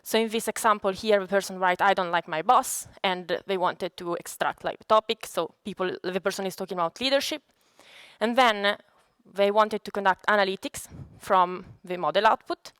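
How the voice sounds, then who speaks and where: Clear-voiced Italian-accented woman presenting. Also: mid-range voice, normal speed, a bit bright, no room sound. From Italy. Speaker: data scientist giving a presentation. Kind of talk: conference presentation.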